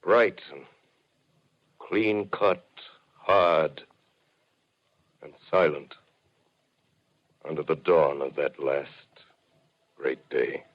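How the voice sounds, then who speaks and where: An actor playing a solemn, reflective scholar who survived an invasion, in grave theatrical phrasing for a 1930s radio drama. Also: male voice, a bass voice, steady tone, extremely slow, pauses often, heavily acted. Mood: wistful. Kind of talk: 1930s radio drama monologue, literary narration. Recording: muffled old 1930s radio broadcast.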